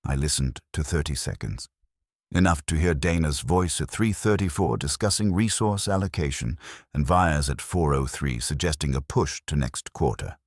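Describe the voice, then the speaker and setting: A man reading scripted first-person detective story narration in a wry, measured manner. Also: very deep voice, dry recording, clean studio sound. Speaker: audiobook narrator.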